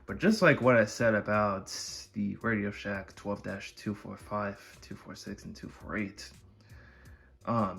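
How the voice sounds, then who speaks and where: A man speaks in a low voice with plenty of vocal fry. He narrates a casual showcase, putting his sentences together in a halting, wordy way. Faint music plays behind him. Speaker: video creator presenting a collection.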